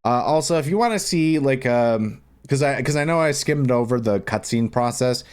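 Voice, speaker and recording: A man's voice: mid-range voice, lively intonation, normal speed, a little mellow, clear, resonant tone. A man giving a casual screen-recorded game modding tutorial, speaking informally in a rambling way and repeating himself. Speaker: video tutorial host.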